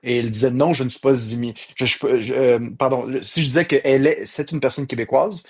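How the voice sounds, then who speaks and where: A man's voice: medium pitch, steady tone, brisk, breathy edge. Male current affairs panelist, middle register, explanatory and self-correcting. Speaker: radio panelist, former schoolteacher. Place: radio studio.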